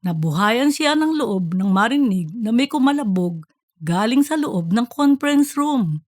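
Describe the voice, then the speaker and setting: A woman reads a scripted story as its narrator. Her voice sits fairly high, and the sound is dry with almost no room in it. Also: darkish tone, no room sound. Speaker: audiobook narrator.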